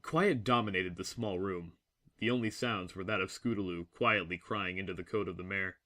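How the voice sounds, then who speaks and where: A male narrator with a fairly low, crisp bright voice. He reads a scripted story in a dramatic storytelling style. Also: normal speed.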